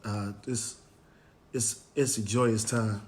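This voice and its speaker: A man hosting a motivational Facebook Live show, hoarse and raspy from cheering, greeting viewers by name, with plenty of "um" and "you know". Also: lowish voice, fairly even intonation, slow, a little mellow, slight background noise. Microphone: live stream, phone or webcam.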